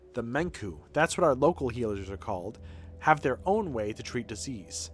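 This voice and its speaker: A man voicing a proud, grave young aristocratic heir from a video game, speaking in a formal, measured, solemn way. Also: medium pitch, sing-song, natural voice. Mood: explaining. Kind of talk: dramatic reading of game dialogue. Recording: game music behind the voice.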